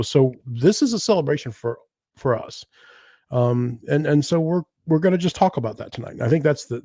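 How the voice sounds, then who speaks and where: A man's voice: mid-range voice, dark tone, no room sound, clean studio sound. A man co-hosting a live talk show who lays out the news with wry asides. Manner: explanatory, wry. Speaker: co-host. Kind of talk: live casual chat.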